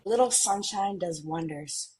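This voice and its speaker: A woman's voice: mid-range voice, a little slow, darkish tone, a little hiss or hum, close to an everyday voice. A woman playing a playful, curious millennial twin sister with a mystical streak, in a romcom table read. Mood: light, curious.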